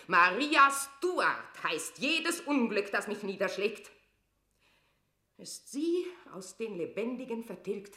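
A woman's voice: mid-range voice, bright, forceful tone. An actress playing a proud, tormented Queen of England in a classic radio drama, with grand, declamatory delivery. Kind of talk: classic German radio play acting of verse drama. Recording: roomy, reverberant radio drama studio.

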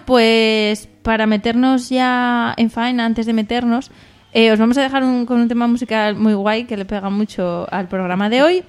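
A woman's voice: fairly high pitch, clear, resonant tone. A woman with an accent from Spain, hosting a radio show with informal, jokey, casual chat. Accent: Spanish from Spain. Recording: music behind the talk.

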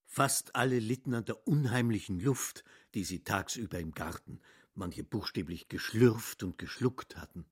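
A man's voice: lowish voice, moderate pace, breathy edge, no room sound, studio quality. A man narrating a literary radio drama in a sober, scripted style.

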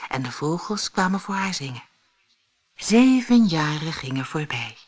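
A woman reading a fairy tale as a storyteller, in expressive, dramatic and measured scripted narration. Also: deep voice.

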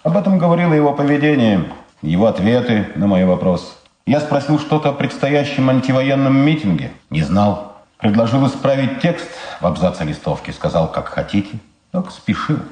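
An actor playing a proud, principled blind war veteran in a radio drama, measured, restrained and ironic. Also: male voice, mid-range voice, sing-song, darkish tone, echoey room, natural voice. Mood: guarded, matter-of-fact.

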